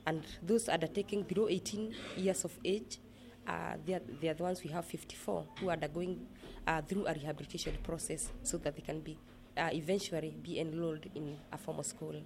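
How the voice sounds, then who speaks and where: A woman with a Kenyan accent explaining her work in an interview, speaking in a measured, earnest way with pauses. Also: deep voice, steady tone, creaky, background noise. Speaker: interviewee, project manager at a rehabilitation center.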